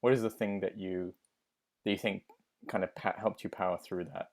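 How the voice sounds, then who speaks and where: A male podcast host puts a question to his guest. His voice sits low. He circles around the point, adding "you know" and rephrasing before he finishes. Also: steady tone, normal speed. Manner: long, meandering questions with many "you know"s. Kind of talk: interview questions.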